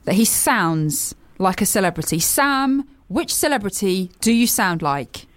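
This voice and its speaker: A woman's voice: mid-range voice, animated, a little slow, no echo. A young woman hosting a radio show, playful and self-mocking. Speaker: radio host. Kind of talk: casual chat.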